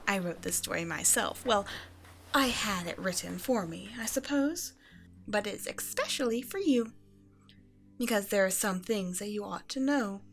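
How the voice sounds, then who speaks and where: A woman playing a regal evil empress and doting mother in an ASMR bedtime roleplay, speaking in a measured, tender, condescending way to a child. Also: medium pitch. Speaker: audio roleplay performer. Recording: music behind the voice.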